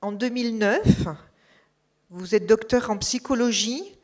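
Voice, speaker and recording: A woman's voice: a little high, a little slow, darkish tone. A woman hosting an evening lecture, formally introducing the guest speaker and reading his career from notes. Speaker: event host introducing a speaker. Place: lecture hall.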